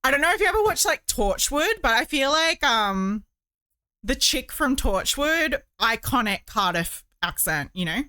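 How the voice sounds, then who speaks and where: Bright-voiced woman chatting on a livestream. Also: high-pitched, sing-song, a little slow, clean tone, dry recording. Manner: rambling, chatty, thinking aloud. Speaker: livestream host. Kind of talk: casual livestream chat while crocheting.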